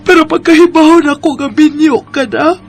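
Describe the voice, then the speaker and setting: Radio drama lead: lovesick young Cebuano Filipina, very high-pitched, earnest confession over soft music. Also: female voice, fairly even intonation, normal speed. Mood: hurt, pleading. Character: lovelorn young woman confessing long-hidden love to old friend. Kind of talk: Bisaya radio drama acting. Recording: music behind the voice.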